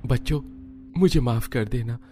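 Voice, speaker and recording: A man's voice: mid-range voice, moderate pace, dark tone, faint music behind, close to an everyday voice. A man voicing an apologetic, guilt-ridden poor father in a cartoon story. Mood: regretful. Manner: regretful.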